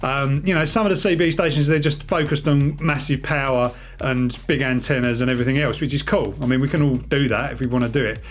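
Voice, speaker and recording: A man's voice: medium pitch, fairly even intonation, fast talker, hardly any pauses. Man chatting casually, faint music underneath. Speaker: hobby radio video host. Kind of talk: casual demonstration chat.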